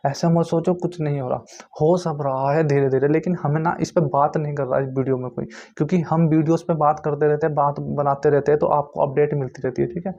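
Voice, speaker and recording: A man's voice: on the high side, fairly even intonation, fairly quick, creaky, mellow. A man giving an informal explanatory update to viewers, repeating his points insistently and checking in often. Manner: repetitive, insistent explaining with frequent check-in tags. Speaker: YouTube host giving exam recruitment updates. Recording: muffled lo-fi recording.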